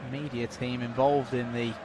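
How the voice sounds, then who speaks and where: A man giving unofficial live commentary on a football match, calmly filling a stoppage with updates and statistics. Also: mid-range voice, background noise. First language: not Dutch. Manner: measured, informative, filling time with stats and updates.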